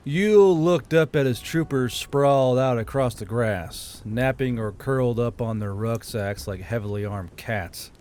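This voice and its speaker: A man's voice: mid-range voice, a little mellow, slight background noise. A man reading scripted fiction as an audiobook narrator. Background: background music.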